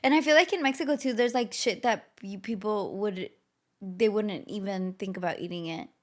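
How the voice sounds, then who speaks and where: A young woman co-hosting a casual podcast, telling stories in an animated way with swearing and laughter. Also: medium pitch, moderate pace. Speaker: podcast co-host. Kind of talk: casual chat.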